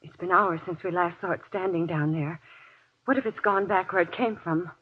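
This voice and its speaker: A man playing an anxious, devoted wife trapped underground in an old-time radio horror drama, speaking in an earnest, halting, hushed way. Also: high voice, slight background noise, natural voice. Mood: quietly anxious. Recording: dull, muffled old radio recording.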